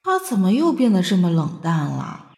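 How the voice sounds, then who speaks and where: 女声优演的回音很重的少女俏皮心声. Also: female voice, fairly low pitch, huge pitch swings, normal speed, a little mellow. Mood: playful. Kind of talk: inner monologue in audio drama. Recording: echoey long-reverb thought effect.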